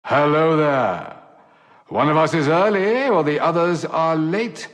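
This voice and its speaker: Flamboyant, grandiloquent rogue, swooping theatrically. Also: male voice, on the high side, lively intonation, unhurried, lo-fi sound. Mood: playful greeting. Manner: florid, mock-gallant, theatrical delivery. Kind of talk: theatrical video game character acting. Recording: long echoing hall reverb.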